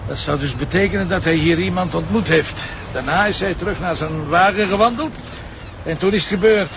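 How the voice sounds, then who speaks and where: A man with a Flemish accent playing a dogged, gruff, wry police commissioner in a TV crime drama, with a gravelly voice. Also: high-pitched, brisk, highs cut off, background noise. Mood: businesslike, probing.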